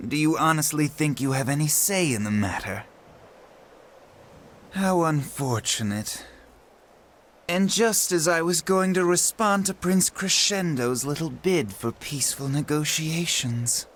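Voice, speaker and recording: An actor playing a scheming aristocratic villain in a game cutscene, smooth and condescending. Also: male voice, on the high side, animated, a bit bright, a little hiss or hum. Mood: threatening. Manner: smooth, condescending, slow and theatrical. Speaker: villain lord. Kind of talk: video game cutscene acting.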